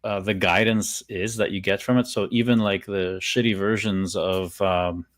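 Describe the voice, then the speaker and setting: A man's voice: low-pitched, fairly even intonation, moderate pace, dry recording, studio quality. A middle-aged man from Poland chatting casually with enthusiastic emphasis and informal wording. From born in Poland. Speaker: guest speaker and software practitioner. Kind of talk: casual chat.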